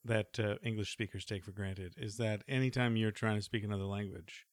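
A man's voice: fairly low pitch, fairly even intonation, fairly quick, no echo. A man hosting a podcast, chatting casually in a rambling, thoughtful way full of fillers. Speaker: podcast host.

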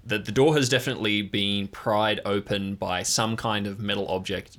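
A man's voice: on the low side, fairly bright tone. A man acting as game master, narrating an improvised tabletop game and describing scenes to players.

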